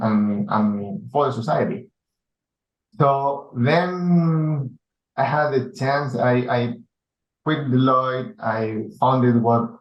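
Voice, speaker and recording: Slow, thoughtful man from Spain. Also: mid-range voice, roomy sound, rough recording. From Spain, Basque Country. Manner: thoughtful, pauses often with fillers. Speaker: guest, chief data officer. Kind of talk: casual interview talk. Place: home or office in Lima.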